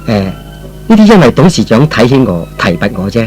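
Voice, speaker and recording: Male actor as principled young man, earnest, hesitant, expressive medium-low delivery, old radio drama. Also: a little fast, soft background music, lightly acted. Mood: deferential, hesitant. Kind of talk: old-fashioned Cantonese radio drama acting.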